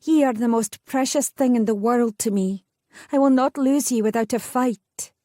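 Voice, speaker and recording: Wry stubborn young noblewoman, higher and mellow, a woman reading her part. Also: normal speed, no echo, clean studio sound, lightly acted. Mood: earnest.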